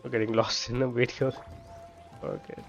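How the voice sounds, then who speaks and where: A man's voice: mid-range voice, level tone, normal speed, gravelly. A man giving casual gameplay commentary, thinking aloud as he plays. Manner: musing, thinking aloud while playing. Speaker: game streamer. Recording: dull lo-fi microphone sound. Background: game music.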